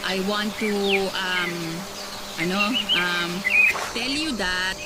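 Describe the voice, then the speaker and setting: A woman's voice: medium pitch, takes it slowly, very noisy, lightly acted. A woman voicing a fussy shopkeeper in her forties who stumbles through broken English and snaps back in Tagalog. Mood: awkward rehearsing. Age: 40s. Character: fussy fortyish woman shopkeeper chasing foreign suitors. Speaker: sari-sari store owner. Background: loud background music.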